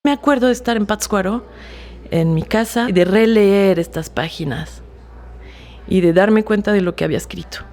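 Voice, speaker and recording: A French woman in her forties, speaking Spanish as a second language, recalls her life as a writer in a halting, reflective way with frequent restarts. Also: mid-range voice. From France. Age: 40s. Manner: soft-spoken, halting with fillers and restarts. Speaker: author interviewee. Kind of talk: reflective personal testimony.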